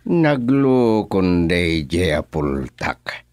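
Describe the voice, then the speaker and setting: A man's voice: deep voice, sing-song, drawn-out words, a little mellow, no room sound, full character voice. An actor with an Ilocano Filipino accent playing an indignant village chairman in a radio comedy-drama. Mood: annoyed. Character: indignant male village chairman, easily riled.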